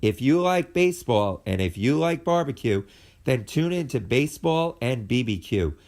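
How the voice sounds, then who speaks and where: Male promo announcer, scripted podcast spot, very lively intonation at a medium pitch. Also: moderate pace.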